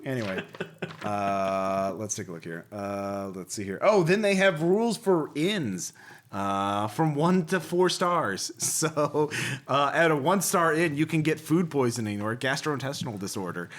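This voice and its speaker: A man's voice: medium pitch, animated, unhurried. A man hosting a casual chat about gaming magazines, with wry, jokey asides and some swearing. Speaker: podcast host.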